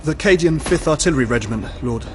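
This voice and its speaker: A man's voice: fairly high pitch, animated, fast, pitched above the performer's own voice, close to an everyday voice. A man playing a dutiful human soldier in an audio drama, answering his superior respectfully in military fashion.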